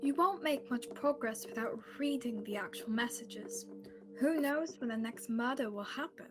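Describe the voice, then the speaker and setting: A woman's voice: on the high side, moderate pace, music in the background, lightly acted. A young woman playing a determined, emotional amateur sleuth in radio theatre style. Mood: insistent. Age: young adult.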